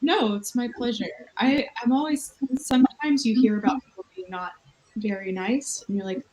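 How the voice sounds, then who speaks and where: A woman's voice: a little high, normal speed, darkish tone, a little hiss or hum, rough recording. A woman in her thirties hosting a casual late-night video chat with fans from a hotel room, rambling and self-mocking. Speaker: livestream host. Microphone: video call.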